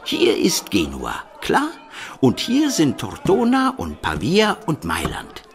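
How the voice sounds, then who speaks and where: A man's voice: medium pitch, wildly swooping pitch, takes it slowly, soft background music, some chatter behind, heavily acted. An actor playing a shrewd, earthy medieval townsman in a radio play, explaining things emphatically to a friend. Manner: emphatic, explanatory, checking the listener follows.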